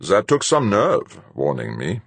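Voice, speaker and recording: A male narrator plays a hard-bitten spaceship captain in an audiobook, deep and husky. He is terse and commanding, with a cool, taunting edge and no sign of being rattled. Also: wildly swooping pitch, a little slow, no echo, exaggerated voice. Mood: cool, musing. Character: hard, unflappable sea-captain type commanding a spaceship crew.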